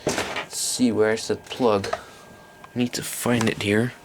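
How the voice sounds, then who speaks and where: A man's voice: fairly low pitch, flat delivery, slow pace, noisy background. A man giving a casual, unscripted hands-on demonstration as an electronics hobbyist, hesitant and thinking aloud as he goes. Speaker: electronics hobbyist presenting a tape recorder.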